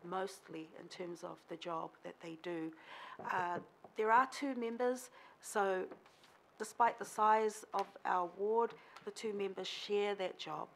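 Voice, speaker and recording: Woman local board member with Samoan as her first language, husky fry in the voice, emphatic, repeats her points in a council chamber. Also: medium pitch, slow pace, a bit bright, a little hiss or hum. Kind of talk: formal presentation to a council.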